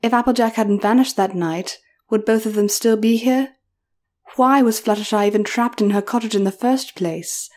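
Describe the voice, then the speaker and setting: A woman's voice: on the high side, fairly even intonation, normal speed, darkish tone. A woman reading scripted horror fiction as a storyteller, in narration.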